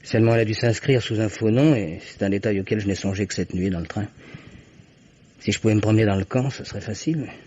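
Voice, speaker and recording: An actor playing an anxious, honourable man on the run in a French radio mystery, husky and earnest, on a dull old recording. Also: on the low side, fast talker, darkish tone, slight background noise, lightly acted. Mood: troubled.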